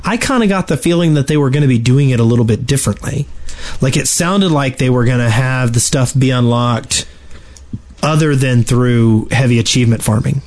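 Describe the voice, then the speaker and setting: A man's voice: medium pitch, brisk, a little mellow. A man co-hosting a gaming podcast, chatting casually in a playful, wry way. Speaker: podcast co-host.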